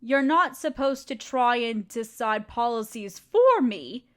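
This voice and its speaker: A woman's voice: fairly high pitch, animated, slow. A woman voicing a ruthless, scheming evil queen in an audio roleplay, speaking in a condescending, sardonic, commanding way. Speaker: voice actor.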